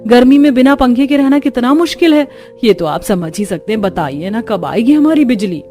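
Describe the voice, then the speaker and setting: An earnest, caring housewife and elder sister-in-law played by a woman speaks in a fairly high voice. It is cartoon family drama with soft music underneath. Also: animated, fairly quick, close to an everyday voice. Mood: pleading. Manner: soothing, earnest.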